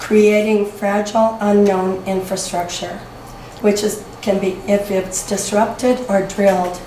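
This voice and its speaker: A hoarse woman in her sixties gives a prepared talk at a lectern in a library meeting room, reading steadily and clearing her throat often, recorded by a far camera with no microphone. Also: mid-range voice, little pitch movement, a little slow, dark tone, slight background noise. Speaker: community speaker. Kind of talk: prepared talk read from notes. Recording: roomy, distant camera recording.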